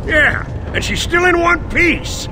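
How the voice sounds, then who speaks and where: A man playing a folksy, good-natured soldier-mechanic in a video game, with a gravelly Southern US drawl. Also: very high-pitched, expressive, slow, noisy background, exaggerated voice. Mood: folksy, appraising. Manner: folksy drawl, fond of machines.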